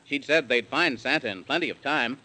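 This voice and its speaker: A man's voice: medium pitch, fairly quick, pushed and bright, poor audio. A man narrating a 1930s radio children's serial in rhyme, with a theatrical sing-song storytelling delivery.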